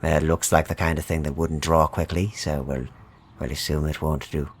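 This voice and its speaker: A man's voice: rumbling low, flat delivery, moderate pace, slightly rough, heavily acted. A man playing an older, friendly but watchful gate guard in a fantasy tabletop roleplay, dry and easygoing.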